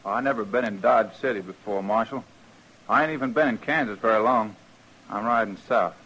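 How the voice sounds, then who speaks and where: Husky, folksy drifter cowboy. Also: male voice, lowish voice, brisk, fairly bright tone, rough recording. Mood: matter-of-fact. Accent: American. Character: plain-spoken drifting cowboy from up north. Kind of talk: old-time radio western acting.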